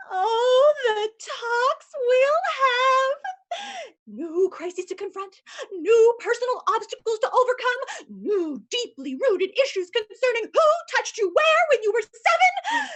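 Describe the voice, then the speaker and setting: A bubbly, theatrical woman therapist who chirps at a very high pitch, as a woman acts her out. Her voice soars and dips constantly, giddy and easily distracted, straight from a comedic stage reading. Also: big pitch swings, slow, a bit bright, heavily acted. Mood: gushing excited. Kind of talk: livestream script reading.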